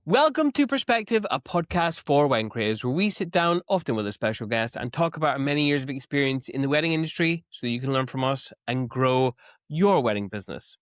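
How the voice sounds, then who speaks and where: A man's voice: medium pitch, sing-song, fairly quick, no room sound, studio quality, soft background music. A man hosting a podcast in a casual, chatty style. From Scotland. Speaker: podcast host.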